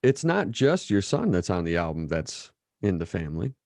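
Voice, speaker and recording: A man's voice: deep voice, very animated, normal speed, gravelly, a little mellow, dry recording, clean studio sound. An American man in his thirties hosting a casual interview, eager and often restarting his phrases. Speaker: podcast host. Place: small indoor room. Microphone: desk mic up close, headphones.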